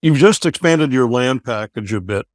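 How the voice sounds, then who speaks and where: A man's voice: medium pitch, lively intonation, normal speed, no room sound. Dry-sounding male interview host. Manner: friendly, direct questioning. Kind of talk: business interview hosting.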